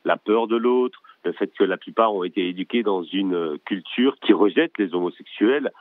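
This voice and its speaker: A man with a fairly low voice comes through a thin, tinny phone line with a dry sound and no room around it. He is a documentary-maker on a call, speaking eagerly and stopping to restart his sentences often. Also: moderate pace, dry recording. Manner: eager, many restarts and hesitations. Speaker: documentary director, guest. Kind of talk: remote interview conversation. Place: home in Brussels.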